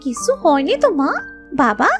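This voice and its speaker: A woman's voice: high voice, sing-song, moderate pace, soft background music. A woman voicing a sweet, eager little girl, affectionate and pleading, in a children's cartoon. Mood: pleading, cheerful.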